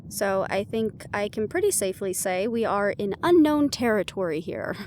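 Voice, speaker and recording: A woman's voice: mid-range voice, expressive, moderate pace, darkish tone, slight background noise, lightly acted. A woman playing a worried, wry half-banshee in an intimate fantasy audio roleplay, confiding and musing as she talks. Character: young female half-banshee siren, worried, wry, secretly vulnerable. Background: background music.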